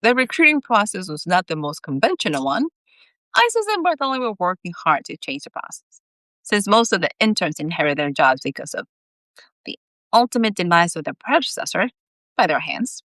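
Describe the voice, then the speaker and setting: A woman reads fiction aloud for a live audience online. Her delivery is dramatic and highly animated, with wide swings in pitch and a dry, close sound. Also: fairly quick, dry recording, low-quality recording. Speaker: author reading own book aloud, host. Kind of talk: expressive storybook reading on a live stream.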